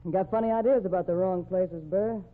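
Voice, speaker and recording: A woman's voice: fairly low pitch, fairly quick, natural voice. An actress playing a tough, outspoken cowgirl wife with blunt, slangy talk in an old-time radio drama. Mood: teasing. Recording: tinny, band-limited old radio recording.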